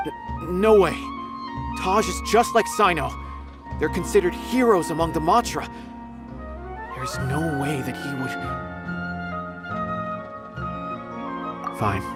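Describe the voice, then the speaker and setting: A man's voice: on the high side, unhurried, long pauses, music in the background, natural voice. A man playing an earnest, loyal young male officer in a video game, speaking politely and emphatically, easily flustered. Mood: distressed, defensive.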